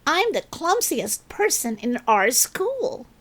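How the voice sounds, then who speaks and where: A woman's voice: high voice, sing-song, slow, a bit bright, pitched above the performer's own voice, full character voice. A woman voicing a clumsy, anxious, apologetic schoolgirl with an earnest, self-doubting manner in a children's storybook. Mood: disbelieving. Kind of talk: children's storybook read-aloud.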